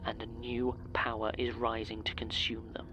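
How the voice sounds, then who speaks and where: Audio drama role: grave man, played by an actor, ominous prophet of doom, solemn and breathy delivery. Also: lowish voice, completely flat delivery, moderate pace, lightly acted, poor audio. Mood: grave foreboding. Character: grave man warning of a coming apocalypse.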